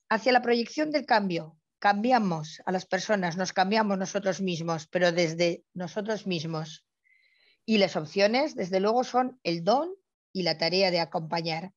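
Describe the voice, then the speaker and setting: Middle-aged woman, host giving an introduction, effusive, medium pitch, dull video-call audio. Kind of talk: introduction speech, partly read out. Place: indoor room, on a video call. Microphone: earphone headset on a video call.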